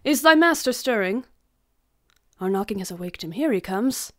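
A woman's voice: mid-range voice, expressive, moderate pace, fairly bright tone, lightly acted. A woman playing a grave, passionate Scottish noble in a Shakespearean radio drama. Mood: brisk, courteous.

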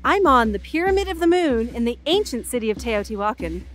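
A woman's voice: fairly high pitch, big pitch swings, a little fast, faint music behind. An actress playing an earnest woman field reporter recording a travel report in an audio drama. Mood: earnest, announcing.

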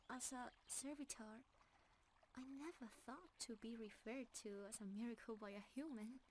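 A woman voicing a gentle, loving, timeless deer-centaur forest creature in a romantic ASMR roleplay, with a soft, breathy, often whispering voice and a calm, tender manner. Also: a little high, slight background noise, natural voice. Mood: calm, reflective. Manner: calm, delicate, tender, unhurried.

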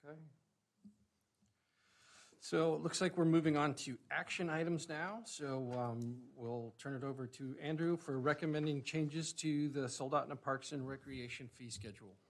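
A man's voice: a little high, steady tone. A man chairing a city advisory board meeting, thanking speakers courteously and moving to the next item. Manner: courteous, brisk procedural talk.

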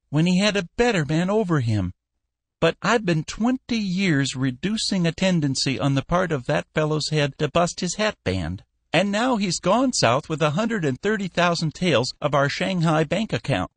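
A man voicing a cantankerous, bossy old shipping tycoon in an audiobook, shrill, scolding and full of bluster. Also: no room sound.

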